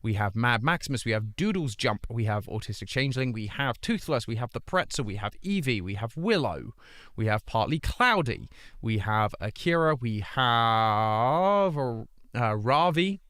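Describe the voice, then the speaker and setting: A man's voice: mid-range voice, animated, measured pace, a bit bright, no echo, studio quality. A man hosting a charity livestream, chatting casually and earnestly urging viewers to donate while still getting over an illness. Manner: earnest, pleading appeals to chat, rambling restarts. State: recovering from an illness, voice not at full strength.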